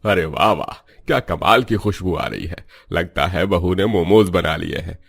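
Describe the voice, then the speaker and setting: Older cartoon father-in-law acted by a man: low, gravelly, exclamatory family patriarch who loves food. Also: measured pace, full character voice. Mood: delighted, hungry. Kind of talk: cartoon voice acting.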